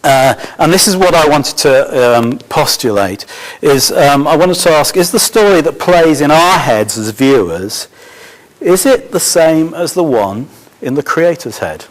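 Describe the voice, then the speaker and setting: A man giving a conference talk as a film school course leader, halting and full of fillers and restarts, his voice a little hoarse. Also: fairly high pitch, big pitch swings, poor audio. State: slightly hoarse.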